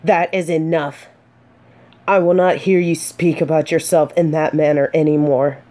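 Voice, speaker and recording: A woman playing a gentle, earnest female knight in a fantasy romance audio drama, speaking softly with hesitant, dramatic pauses. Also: deep voice, fairly even intonation, natural voice. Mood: pleading, firm. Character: gentle, earnest female knight consoling a despairing blind companion.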